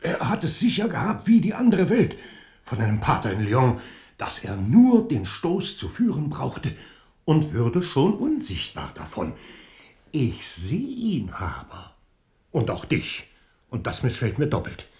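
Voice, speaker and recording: A man acting in a German radio drama as a pious, suspicious and fearful innkeeper who conspires in murder, agitated and halting. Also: mid-range voice, wildly swooping pitch, a little mellow. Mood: wary, uneasy.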